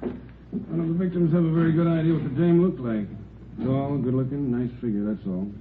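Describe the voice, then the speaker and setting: An actor playing a brisk, weary police detective in a 1950s radio drama. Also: male voice, on the high side, steady tone, close to an everyday voice. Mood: businesslike. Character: brisk, weary male robbery squad detective. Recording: noisy, muffled old radio recording.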